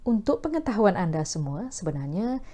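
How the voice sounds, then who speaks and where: A woman with a Malaysian accent hosting a talk video, speaking formally with measured, newsreader-like diction. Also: medium pitch, big pitch swings, over loud music. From Perak, Malaysia. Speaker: host of a talk video series. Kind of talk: formal scripted presentation to camera.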